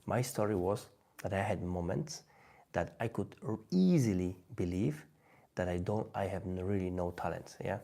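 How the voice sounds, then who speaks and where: Polish-accented male vlogger, dark and mellow, halting delivery. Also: sits low, vocal fry. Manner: halting, many restarts and "yeah?" tags. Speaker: language-learning vlogger. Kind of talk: direct-to-camera talk.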